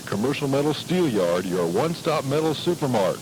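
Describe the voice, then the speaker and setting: A man's voice: medium pitch, big pitch swings, brisk. An American man delivering a scripted local radio commercial as an announcer. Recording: noisy 1980s radio ad on cassette.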